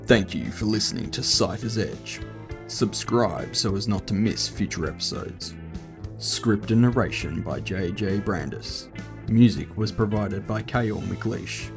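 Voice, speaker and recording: Very deep, wry male narrator. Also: unhurried, creaky, music in the background. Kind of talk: first-person scripted fiction narration.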